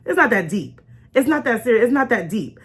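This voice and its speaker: A woman hosting an informal faith talk in an animated, conversational way, stressing and repeating key phrases and acting out imagined remarks. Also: fairly high pitch, a little fast, breathy edge. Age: adult with young children. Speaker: host of a faith storytime show.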